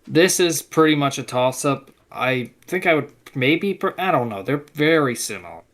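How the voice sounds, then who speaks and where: A man's voice: medium pitch, expressive, moderate pace, fairly bright tone. A young man as guest co-host in a casual chat, easygoing and hedging his opinions.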